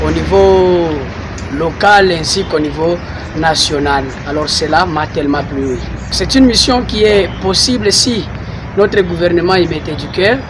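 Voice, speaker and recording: A man's voice: fairly high pitch, background noise. A man giving a pleased, emphatic interview answer outdoors. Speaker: conference participant. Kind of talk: street interview.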